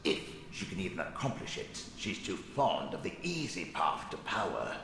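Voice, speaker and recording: An actor playing a haughty, sardonic dead wizard's spirit in a video game, speaking in an imperious, theatrical way. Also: male voice, low voice, big pitch swings, moderate pace, gravelly, a bit bright, heavily acted. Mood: disdainful. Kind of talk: scripted video game acting. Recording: echoing cavernous reverb, faint noise.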